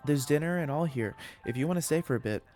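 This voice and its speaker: An awkward, earnest schoolgirl, acted out by a man in a story reading. The voice sits fairly high, rambling eagerly and getting flustered easily, with music in the background. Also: lively intonation, fast, a little mellow, lightly acted. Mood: eager, hospitable. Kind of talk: dramatic first-person story reading.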